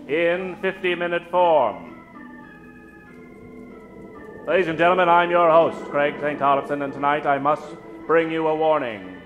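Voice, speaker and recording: Slow, theatrical male radio show host. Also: a little high, narrow, tinny audio. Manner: theatrical, mock-ominous, dramatic pauses. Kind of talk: old-time radio horror spoof announcing and storytelling. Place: theater stage before an audience. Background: live keyboard music.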